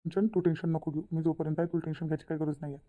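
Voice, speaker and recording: A man's voice: fairly high pitch, little pitch movement, very fast, phone-quality sound, lightly acted. A man voicing a lovesick, brooding young village son in a cartoon, with a rural Maharashtrian accent and a repetitive way of talking. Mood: worried, determined. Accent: rural Maharashtrian Marathi. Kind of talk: cartoon comedy dialogue.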